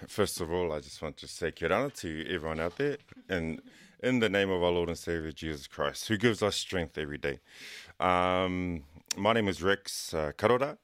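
Slow halting nz guy, super deep voice, smooth and dry studio sound. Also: no echo. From Cook Islands, raised in New Zealand. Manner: halting, soft-spoken, many pauses and fillers. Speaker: guest, police officer. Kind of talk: radio interview answers. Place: radio studio.

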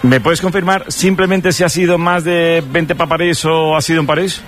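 A man's voice: fairly high pitch, fairly even intonation, moderate pace. A man with a Spain Spanish accent hosting casual sports radio banter, teasing as he asks questions. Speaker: radio show host. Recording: loud music under the voice. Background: loud music.

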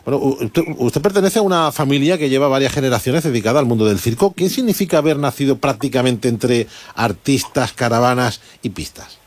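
A man's voice: medium pitch, a little fast, no room sound. A man hosting a radio interview, reading a scripted intro in a polished, formal way. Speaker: radio host.

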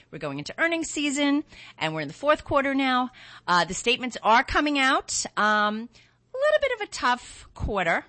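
Chatty, bright-voiced radio host woman. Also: medium pitch, dry recording. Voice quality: slightly scratchy, nasal. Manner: chatty, laughs often, many restarts. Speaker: radio show host, financial advisor. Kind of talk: casual radio talk about markets. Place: radio studio.

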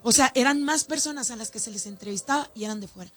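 A woman's voice: a little high, fast. A woman from Ciudad Juárez with a northern Mexican accent, a festival organizer talking animatedly in a radio interview. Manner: animated, chatty, with many restarts. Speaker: festival organizer and councilwoman, guest.

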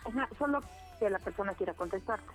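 A woman's voice: mid-range voice, animated, fast. Woman, fifties, medium voice, chatty and tender, faint music underneath. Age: 50s. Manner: chatty and tender, with many fillers. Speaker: guest dedicating a song. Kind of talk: casual radio interview.

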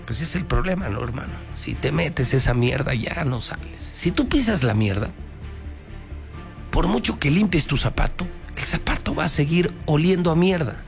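A man's voice: on the high side, sing-song, moderate pace, creaky. Mexican male news anchor over music. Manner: emphatic, repeats phrases, colloquial. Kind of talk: radio news presenting with commentary.

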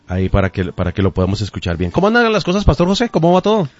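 A man's voice: on the high side, wildly swooping pitch, very fast. A man with a Colombian accent hosting a conversational radio interview, addressing his guest courteously and formally. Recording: dull, band-limited radio audio.

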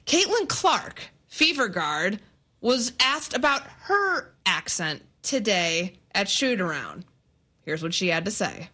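A woman's voice: medium pitch, big pitch swings, slow, sharp tone. A woman from the Chicago area with a Chicago accent, hosting a sports radio show in teasing, casual banter.

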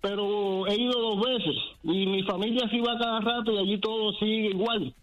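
A man's voice: high voice, steady tone, moderate pace, fairly bright tone, highs cut off. A man with a Cuban accent giving an impassioned opinion as a radio caller, emphatic and piling up repetitions. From Cuba. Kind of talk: impassioned opinion on a call-in show.

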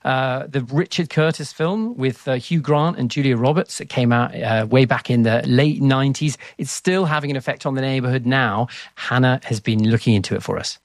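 A man's voice: mid-range voice, normal speed, no room sound, studio quality. A man hosting a programme, introducing a report in a semi-scripted way. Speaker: programme host.

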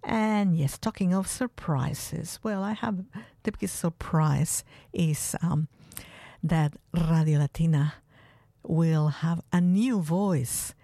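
A woman hosting a community radio show, speaking in a relaxed, unhurried way with occasional fillers. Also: low-pitched, no echo. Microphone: studio microphone.

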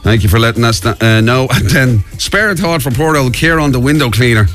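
A man's voice: medium pitch, animated, a little fast, fairly bright tone, soft background music. An Irish man hosting morning radio, chatty and giggly, with plenty of fillers. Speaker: radio host. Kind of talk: casual morning radio banter. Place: radio studio.

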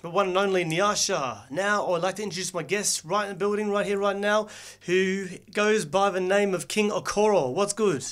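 A man's voice: high voice, normal speed, few pauses, a bit bright. A man hosting a radio interview in a casual, hesitant way, full of fillers and restarted questions. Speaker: radio host.

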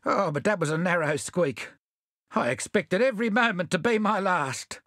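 A man's voice: high-pitched, lively intonation, moderate pace, fairly bright tone, no echo, clean studio sound, voice pushed up, exaggerated voice. A man doing a whiny, timid constable. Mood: fearful. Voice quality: whiny, nervous. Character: timid peevish male constable.

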